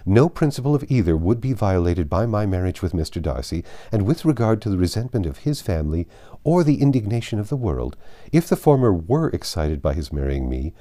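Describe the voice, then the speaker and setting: A man voicing a resolute, self-possessed young gentlewoman in an audiobook, speaking formally and firmly. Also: sits low, sing-song, brisk. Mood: defiant. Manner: firm, formal, articulate. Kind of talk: dramatized audiobook reading.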